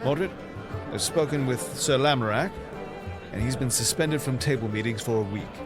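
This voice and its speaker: A man's voice: mid-range voice, moderate pace, over music, close to an everyday voice. An actor playing a hearty, gracious medieval king in an audio drama, with a courtly, declamatory manner. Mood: earnest, firm.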